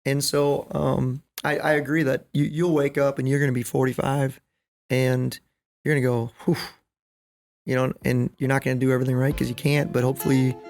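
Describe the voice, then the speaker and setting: A man's voice: medium pitch, flat delivery, fairly quick, creaky, darkish tone, soft background music. A middle-aged man talking earnestly and emphatically as a podcast guest, telling anecdotes and often checking in with the listener. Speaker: podcast guest, basketball coach. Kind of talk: conversational podcast interview.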